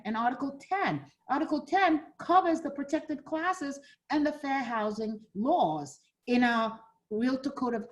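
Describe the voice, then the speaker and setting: A woman's voice: a little high, low-quality recording. A woman from Ghana teaching a fair housing class for realtors, role-playing buyers and agents as she talks. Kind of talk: interactive training class.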